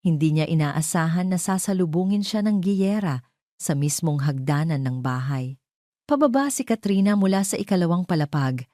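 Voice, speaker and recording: Low, mellow woman storyteller. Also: no room sound, clean studio sound. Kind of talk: dramatic scripted narration of a romance audio drama.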